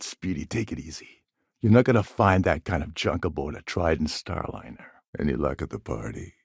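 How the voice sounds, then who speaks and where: Gravelly, sardonic roguish starship captain. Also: male voice, sits low, no room sound, clean studio sound, full character voice. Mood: wry, conspiratorial. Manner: sardonic, smooth-talking schemer. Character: roguish, wry male starship captain and former pirate. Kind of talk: video game character dialogue.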